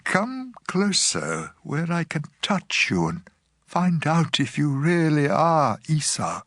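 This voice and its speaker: An actor playing a frail old father who speaks solemnly and haltingly in a dramatized scripture story. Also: on the high side, very animated, fairly bright tone, no room sound, clean studio sound, heavily acted. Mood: wary, tender. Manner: slow, weighty, halting delivery. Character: frail old blind father, solemn patriarch giving blessings. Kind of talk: dramatized scripture reading with music.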